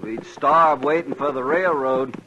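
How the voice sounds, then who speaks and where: A man's voice: medium pitch, fairly even intonation, a little slow, natural voice. An American man playing a hardy, plain-spoken cattle trail boss in a 1950s western radio drama. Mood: wry, casual. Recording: muffled old radio recording, clear noise.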